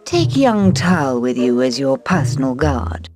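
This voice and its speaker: A woman's voice: rumbling low, very animated, measured pace, music in the background, full character voice. An actress playing a cold, scheming queen in a video game, giving her words a formal, commanding manner. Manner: imperious, formal. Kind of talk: video game cutscene acting.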